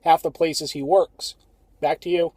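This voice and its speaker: A man's voice: on the high side, steady tone, measured pace, darkish tone, a little hiss or hum. A young American man chatting casually as an amateur radio operator, recorded inside a car. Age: young adult. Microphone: phone camera facing him, close.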